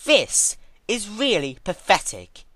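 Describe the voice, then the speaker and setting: A reader acts out a cold, cruel female pony who gloats over a captive. The voice is forceful and sharp, swinging widely in pitch. Words are stretched out with menace and impatience, as in a dramatic storybook reading. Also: wildly swooping pitch, no echo, exaggerated voice. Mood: cold, threatening. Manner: menacing, drawn-out emphatic delivery.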